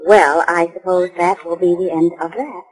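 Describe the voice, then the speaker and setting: A woman's voice: lowish voice, steady tone, normal speed, breathy edge, poor audio. A woman playing a curious, lightly teasing friend of a detective in a 1940s radio drama, on a vintage recording. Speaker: supporting actress.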